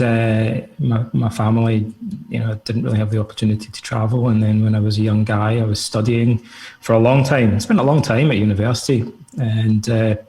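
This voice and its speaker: A man's voice: mid-range voice, vocal fry, a little mellow, low-quality recording. Easygoing Scottish man on a video call. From Scotland. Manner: easygoing, rambling with many fillers. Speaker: guest, author and psychotherapist. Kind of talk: relaxed conversational interview answers.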